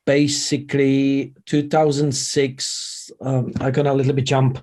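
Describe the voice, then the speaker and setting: A middle-aged man with a Czech accent, chatting casually as an interview guest in halting, non-native English. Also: medium pitch, monotone, darkish tone. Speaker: interview guest, vegan chef and former radio presenter.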